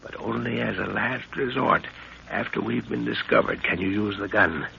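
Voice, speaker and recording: An actor playing a middle-aged, seasoned jungle adventurer, fatherly and commanding, with measured instructions in a 1930s radio drama. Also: lowish voice, creaky, sharp tone, exaggerated voice. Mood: hushed command. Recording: noisy dull 1930s radio recording.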